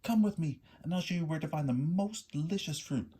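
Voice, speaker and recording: Tender mother bat in a storybook, a man doing the voice, raised and soft, lively pitch. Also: a little fast, slightly rough.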